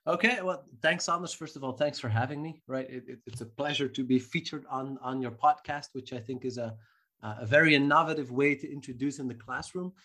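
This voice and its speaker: A marketing professor answering interview questions thoughtfully, with frequent restarts and fillers. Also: male voice, mid-range voice, brisk, sharp tone. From Belgium.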